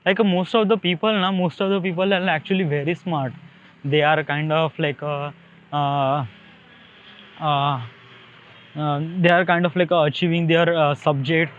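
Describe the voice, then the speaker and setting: A man's voice: fairly high pitch, fairly even intonation, moderate pace. A young man with an Indian accent rambles casually in a monologue, hesitating and repeating himself as he searches for words. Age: young adult. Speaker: solo podcaster. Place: outdoors beside a highway, walking. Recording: thin phone-line-like sound.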